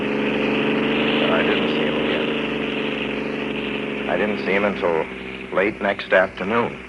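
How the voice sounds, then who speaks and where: An actor playing an uneasy, haunted man on the road, telling his eerie story with measured, dramatic phrasing in a 1940s radio style. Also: a bass voice, level tone, heavy background noise. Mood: brooding dread. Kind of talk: 1940s radio acting, first-person suspense narration. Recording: dull old radio recording, loud music behind.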